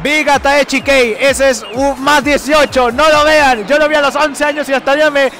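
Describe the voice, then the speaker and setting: Bright, high-voiced man. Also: fairly even intonation, moderate pace. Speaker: convention attendee being interviewed. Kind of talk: casual joking chat. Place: busy indoor sports hall. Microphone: interviewer's handheld microphone.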